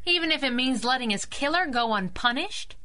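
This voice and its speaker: Bright, spunky radio sidekick girl. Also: high-pitched, lively intonation, brisk. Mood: wry. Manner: spunky, deferential but teasing to her boss. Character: plucky, wisecracking young female sidekick to a masked hero. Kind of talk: 1930s-style radio adventure serial acting.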